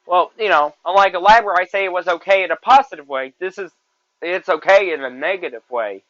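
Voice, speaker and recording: Young man in his twenties, podcast host; high, bright voice; rambles and repeats himself; dry, muffled lo-fi recording. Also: brisk, no room sound. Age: 20s. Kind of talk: solo movie review podcast.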